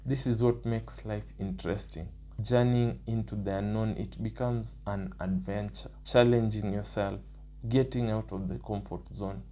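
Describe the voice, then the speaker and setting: A young man with a Kenyan accent, his voice rough from the early morning, talking through his points one by one in a casual vlog. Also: lowish voice, steady tone, a little slow, warm tone. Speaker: nursing student.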